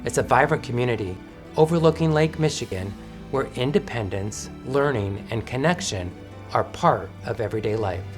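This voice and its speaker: A man hosts a podcast in a smooth, announcer-like way, moving from a polished scripted opening to friendly questions. His pitch sits in a middle range, and soft guitar music plays quietly beneath him. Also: animated, moderate pace. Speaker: podcast host. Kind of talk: scripted introduction and interview.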